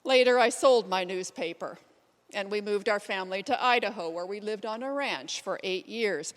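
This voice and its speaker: Readers theater role: determined pioneer suffragist, played by a woman, measured monologue, mid-range voice ringing in a hall. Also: mid-range voice, lightly acted. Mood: measured recounting. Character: elderly pioneer suffragist, determined and plain-spoken, recounting her life. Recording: reverberant hall sound.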